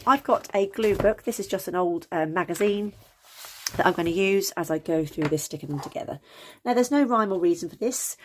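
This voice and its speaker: A woman with a slightly croaky voice, chatting casually through a craft tutorial with lots of ums and self-corrections. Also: on the low side. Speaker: crafting channel host.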